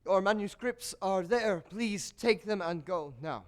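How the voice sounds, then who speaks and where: A man's voice: high-pitched, measured pace. A man playing a bitter, scheming court composer who tells his tale in grand, confiding stage declamation. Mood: cold, agitated. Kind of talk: theatrical stage monologue.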